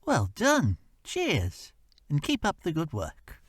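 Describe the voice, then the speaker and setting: A man's voice: on the high side, wildly swooping pitch, slow, slightly rough, no echo, studio quality, full character voice. A man voicing a kindly, helpful little male diesel engine in a storybook reading. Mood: friendly. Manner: friendly, reassuring.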